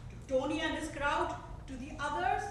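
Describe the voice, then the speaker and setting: A woman in a staged reading, playing an unwell, grieving middle-aged mother who is quietly defiant and bitter. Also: sits high, normal speed, close to an everyday voice. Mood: reproachful. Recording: echoey theater from far off, faint noise.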